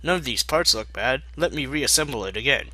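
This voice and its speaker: An eager, flustered young mare of a storybook scholar, acted out by a man. Her voice is very sharp and forward, recorded close and dry. She speaks hesitantly and apologetically. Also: medium pitch, lively intonation, a little fast, no room sound.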